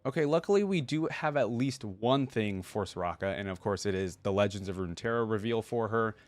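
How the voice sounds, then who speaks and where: A man's voice: lowish voice, lively intonation, fairly quick, no echo. A man hosting a reaction video, chatting casually and thinking aloud as he gives his commentary.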